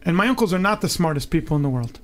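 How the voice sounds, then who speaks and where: Animated male guest telling stories. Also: on the high side, racing. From Long Island, New York. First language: Greek. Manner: animated, laughing storyteller. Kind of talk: storytelling in an interview.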